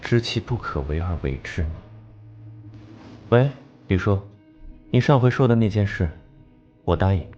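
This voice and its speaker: An actor voicing a cold, brilliant male detective in an animated drama, speaking with condescending, literate composure. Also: fairly low pitch, measured pace, pauses often, highs cut off, faint music behind. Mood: quiet resolve. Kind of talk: Chinese animation dubbing.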